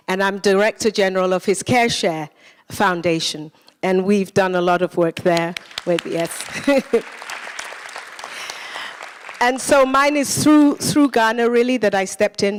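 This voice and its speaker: A woman's voice: mid-range voice, smooth, in a large hall. A woman cast member speaking earnestly at a post-show talkback on a theater stage, searching for her words as she goes. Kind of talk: post-show panel talk.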